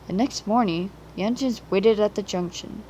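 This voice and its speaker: A woman's voice: mid-range voice, normal speed, dark tone, slight background noise, low-quality recording. A woman reading a children's story as a scripted narration, on an amateur home recording.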